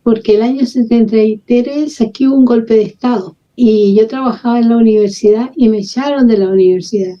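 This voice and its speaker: An older woman from Chile with a Chilean accent, telling her life story as an interview guest in a relaxed, wry way. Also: fairly high pitch, a little fast, hardly any pauses. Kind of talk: casual storytelling. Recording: dull lo-fi audio.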